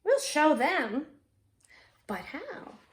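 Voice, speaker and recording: A woman acts out an eager little boy from a children's storybook, full of big plans. The voice is high and excited, with emphatic words and an unhurried pace. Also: slight background noise, heavily acted. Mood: determined. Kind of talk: storybook read-aloud for children.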